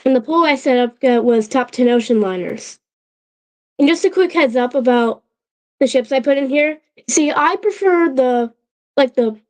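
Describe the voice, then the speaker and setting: A woman hosting a casual livestream, talking in a halting way with frequent restarts and check-ins with her chat, her voice a little off from a sore throat that is still healing. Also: sits high, dark tone. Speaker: channel host.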